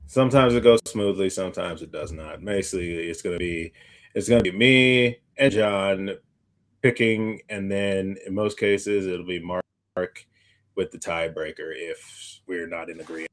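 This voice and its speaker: A man co-hosting a casual unscripted podcast, talking in a halting, rambling way and often repeating his phrases. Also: low-pitched. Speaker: podcast co-host.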